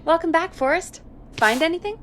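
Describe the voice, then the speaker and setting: A woman acting a brisk, businesslike radio station colleague in a video game, crisp and directing. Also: very high-pitched, expressive, fairly bright tone, slight background noise.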